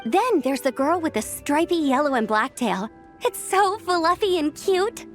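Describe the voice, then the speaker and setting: A woman's voice: high-pitched, sing-song, a little slow. A woman playing a perky, friendly young contest staffer in a video game. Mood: friendly, excited. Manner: upbeat, chatty. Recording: faint music behind the voice.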